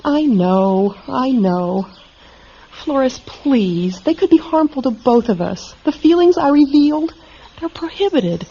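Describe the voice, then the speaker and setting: A woman's voice: sits high, animated, slow, warm tone, husky, exaggerated voice. An actress playing an earnest, dedicated woman psychologist in a dystopian radio drama. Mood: anxious. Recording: dull vintage radio recording, music behind.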